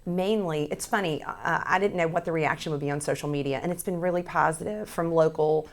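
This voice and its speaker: A hoarse woman in her forties from South Louisiana, answering interview questions as a Senate candidate, earnest with frequent restarts. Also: low-pitched, fairly even intonation, brisk. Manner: earnest, many restarts and fillers.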